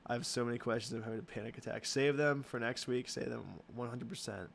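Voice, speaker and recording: A young man hosting a casual livestream Q and A, answering chat questions in a halting way with many ums and restarts. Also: mid-range voice, fairly even intonation, creaky, a little hiss or hum.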